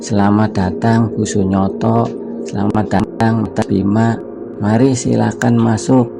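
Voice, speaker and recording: A man's voice: on the low side, little pitch movement, a little slow. A man voicing a humble, dutiful house caretaker in a cartoon, polite and deferential. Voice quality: gravelly. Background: music.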